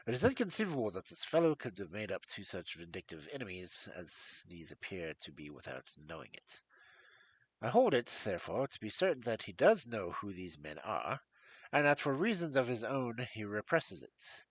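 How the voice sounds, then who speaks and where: A cool, incisive detective, as a male reader plays him, reasoning in a lowered, measured voice with clipped phrasing. The tone is husky and dry, right on the mic. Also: sing-song, no echo, poor audio. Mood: analytical. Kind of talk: audiobook character voice.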